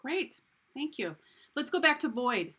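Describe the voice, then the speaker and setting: A woman running an online group call, calling on people by name in a brisk way and laughing easily. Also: sits high, very animated, sharp tone. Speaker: call organizer. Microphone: video call.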